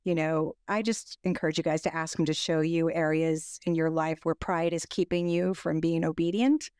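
A hoarse woman with a raspy, smoky sound from recent illness. She speaks steadily and flatly, encouraging listeners in a faith talk. The recording is dry and close. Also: low voice, moderate pace, no echo. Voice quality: raspy smoker-like voice. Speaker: women's study co-host. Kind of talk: casual faith discussion.

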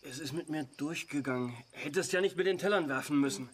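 An actor playing a volatile, controlling husband in a German radio drama. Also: male voice, fairly high pitch, fast, husky. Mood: pleading, apologetic. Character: volatile, controlling husband, apologetic then gruff.

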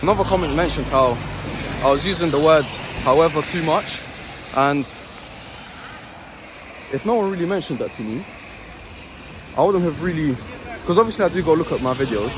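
A man's voice: fairly high pitch, noisy background. Earnest young man explaining gym training. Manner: earnest, explanatory, repeats phrases. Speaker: fitness vlogger. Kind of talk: gym vlog voice-over narration.

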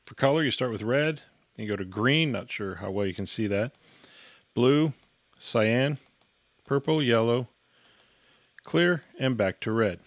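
A man's voice: mid-range voice, lively intonation, measured pace, long pauses, dry recording. A man giving an instructional product walkthrough in a matter-of-fact, step-by-step way. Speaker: product reviewer and demonstrator.

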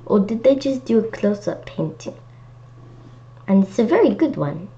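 A woman's voice: medium pitch, big pitch swings, normal speed, mellow. A woman reading a children's storybook aloud, adding simple, childlike, chatty comments as she goes. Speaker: children's book reader. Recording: dull lo-fi home recording with noticeable noise.

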